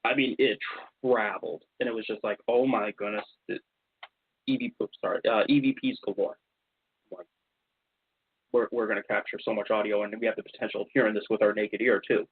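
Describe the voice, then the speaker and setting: A man's voice: fairly low pitch, fairly even intonation, a little fast, husky, dry recording. A man hosting a radio show, telling stories casually and animatedly, acting out what people said. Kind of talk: casual storytelling about investigations. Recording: slightly dull, lo-fi radio sound.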